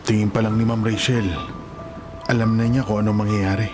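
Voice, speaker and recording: An actor playing a loyal, apologetic working-class Filipino friend in a radio drama, earnest and sympathetic. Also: male voice, fairly low pitch, little pitch movement, fairly quick, music in the background, close to an everyday voice.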